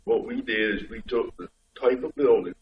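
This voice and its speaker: A man with a head cold, a state highway official explaining things step by step to a public board meeting. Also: moderate pace, noisy background. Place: community board meeting room. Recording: dull, echoey room pickup from far off.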